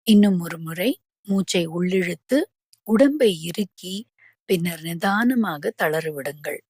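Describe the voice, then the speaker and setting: A woman guiding a yoga nidra relaxation, giving calm, measured instructions with pauses between phrases. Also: fairly low pitch, dry recording, studio quality. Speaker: yoga nidra meditation guide.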